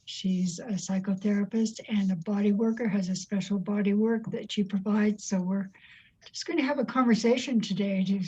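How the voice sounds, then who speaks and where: A woman's voice: mid-range voice, fairly even intonation, moderate pace, warm tone, husky, dull audio. A woman hosting a conversational interview as its interviewer. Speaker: interview host.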